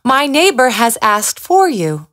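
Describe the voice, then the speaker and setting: Storybook field mouse played by a woman, female, motherly, bossy, high-lifted voice, unhurried. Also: sing-song, a bit bright, full character voice. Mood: bossy, insistent.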